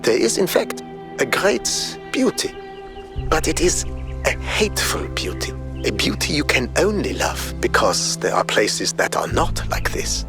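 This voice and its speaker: A man playing a grave, philosophical robot explorer who scorns nature, delivering a measured, eloquent game audio log monologue. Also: a little high, big pitch swings, over music. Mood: brooding awe.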